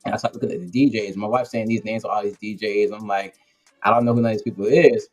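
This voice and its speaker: A man's voice: on the low side, fast talker, soft background music. A man hosting an interview, telling a story in a loose, slangy way and acting out what people said. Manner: animated, slangy, acts out quotes. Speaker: interview host. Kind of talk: casual storytelling chat.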